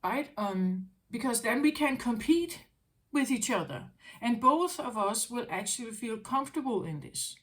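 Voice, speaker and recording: A woman's voice: a little high, lively intonation, measured pace, fairly bright tone. A woman talking as a tarot reader in an unscripted spiritual monologue, musing aloud, repeating her phrases and often checking in with "right?".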